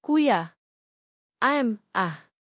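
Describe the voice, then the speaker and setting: A woman's voice: on the high side, lively intonation, drawn-out words, a bit bright, dry recording, exaggerated voice. Young romance heroine, acted by a female narrator: pleading, overwhelmed, exclamatory, dry sound.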